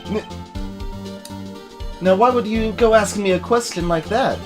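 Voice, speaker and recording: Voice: medium pitch, unhurried, pitched above the performer's own voice, natural voice. A voice actor playing a flustered, evasive young man in video game dialogue, stammering and defensive. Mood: sheepish, evasive. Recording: game music behind the voice.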